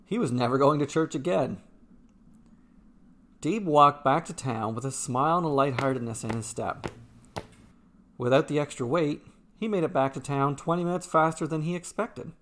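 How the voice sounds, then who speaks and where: A man's voice: on the high side, normal speed, long pauses, fairly bright tone, a little hiss or hum. Man narrating a fantasy short story.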